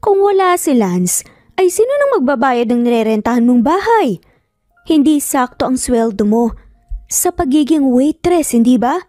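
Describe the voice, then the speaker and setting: A woman's voice: high voice, big pitch swings, moderate pace, dark tone, no room sound, clean studio sound, soft background music. A woman voicing a cruel, rich older mother in a story, stern, sarcastic and commanding. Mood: angry, threatening.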